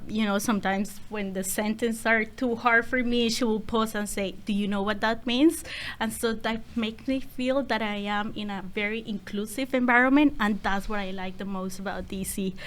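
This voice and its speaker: A woman hosting a podcast, asking interview questions in a measured, deliberate way. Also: mid-range voice. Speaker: podcast host.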